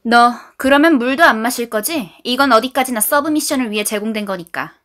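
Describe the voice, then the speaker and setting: A woman's voice: fairly high pitch, a little fast, a bit bright, close to an everyday voice. A woman voicing a blunt, scornful young woman who swears and talks down to others. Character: harsh, foul-mouthed young woman.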